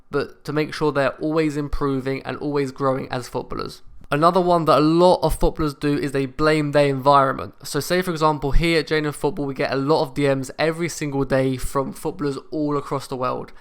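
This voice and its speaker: A young man hosting a football channel, giving direct, steady motivational advice to camera. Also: on the high side, clear, resonant tone. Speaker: football career coach and channel host.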